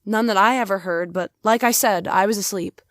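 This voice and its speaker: A woman's voice: medium pitch, a little fast, no room sound, studio quality, natural voice. A young woman playing a bewildered, earnest teenage girl from the past in a hobby audio drama. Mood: puzzled. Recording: music playing behind the voice.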